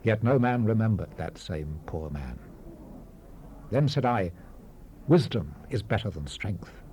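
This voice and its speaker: A man playing a grave, world-weary biblical preacher in a dramatized scripture reading, measured and oratorical, with emphatic rises and hushed falls. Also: fairly low pitch, very animated. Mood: solemn, reflective. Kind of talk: solemn dramatized Bible reading. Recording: hissy 1970s cassette rip, background ambience.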